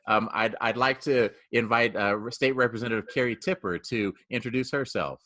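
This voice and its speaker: A man's voice: medium pitch, moderate pace, pushed and bright. A man moderating a panel on a video call, making friendly and polite introductions.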